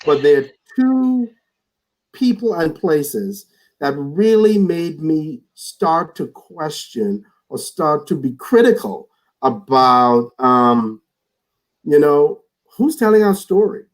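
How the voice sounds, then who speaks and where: A man's voice: a little high, sing-song, slow, low-quality recording. A man speaking as a guest in a reflective conversation over a video call, earnest, often repeating words as he starts a thought.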